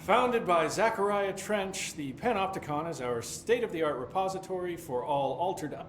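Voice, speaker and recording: An actor playing a flustered, officious prison facility supervisor in a video game, hesitant and talkative. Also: male voice, sits high, moderate pace, slight background noise, close to an everyday voice. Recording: roomy echo with faint background ambience.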